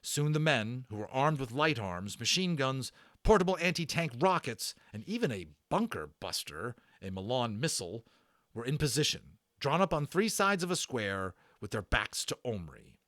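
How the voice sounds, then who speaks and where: Male storyteller, mid-range voice, highly varied intonation, dramatic children's book narration, close dry sound. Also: very animated, normal speed, a bit bright, no echo. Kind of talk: dramatic storybook read-aloud.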